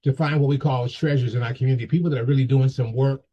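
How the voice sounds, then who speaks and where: Halting man hosting a talk show. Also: medium pitch, steady tone, dark tone, breathy edge, lo-fi sound. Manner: halting, many fillers and restarts. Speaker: host. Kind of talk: casual interview.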